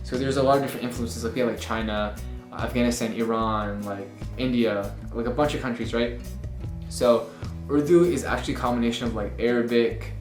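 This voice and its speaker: A man's voice: mid-range voice, fairly even intonation, fairly quick. A young man co-hosting a casual chat, laid-back and full of fillers. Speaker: co-host. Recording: echoey room with faint music. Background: faint background music.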